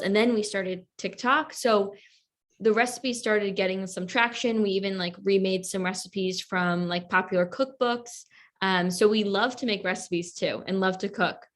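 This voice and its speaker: Chatty woman in her twenties. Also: medium pitch. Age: 20s. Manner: chatty, with lots of fillers like "like". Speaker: podcast guest. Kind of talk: casual interview chat.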